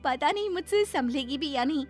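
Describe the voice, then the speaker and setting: Polite, high-voiced young daughter-in-law, acted by a woman. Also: animated, fairly quick, soft background music. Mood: placating. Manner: deferential, apologetic, pleading. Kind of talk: Hindi animated moral story acting.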